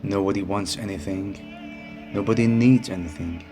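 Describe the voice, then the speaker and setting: A man narrating a guided meditation in a calm, soothing manner with unhurried phrasing. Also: deep voice. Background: music behind the voice.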